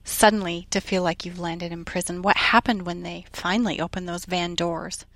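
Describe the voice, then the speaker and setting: Woman interviewer, gentle and sympathetic, lots of soft affirmations, dry close sound. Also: lowish voice, steady tone, moderate pace, no room sound. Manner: soft, empathetic, with frequent murmured affirmations. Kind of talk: conversational interview.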